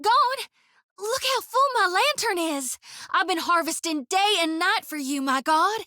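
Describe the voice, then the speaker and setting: An actress voicing a young, blunt, priestess in a video game, with a drawl and a teasing, devout manner. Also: female voice, extremely high pitch, lively intonation, a little slow, bright, forceful tone, no echo, heavily acted. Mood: awestruck. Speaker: game companion. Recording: dry studio recording.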